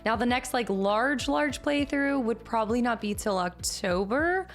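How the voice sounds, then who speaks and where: A woman streamer chatting casually with her viewers and thanking them, upbeat, her voice a little raspy today. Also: a little high, lively intonation, unhurried. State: slightly raspy voice. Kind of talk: casual stream chat reading chat. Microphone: streaming mic.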